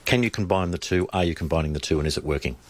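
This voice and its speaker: A man's voice: deep voice, rapid-fire. An Australian man from Melbourne interviewing in an informal, conversational way, feeling his way into each question.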